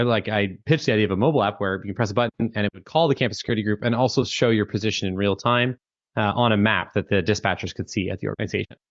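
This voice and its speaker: A man with a Canadian accent talks casually in a lowish voice, full of fillers and quick restarts. The audio is dry and dull, like a remote call. He sounds like a founder in his thirties. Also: a bit bright, no echo. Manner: fast, chatty, many fillers and restarts. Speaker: guest, startup founder and author. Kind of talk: casual interview conversation.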